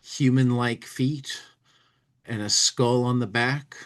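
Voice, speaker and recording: A man's voice: mid-range voice, steady tone, slow pace. A man hosting a podcast, casually commenting on a humor magazine and reading parts of it aloud dramatically. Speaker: podcast host.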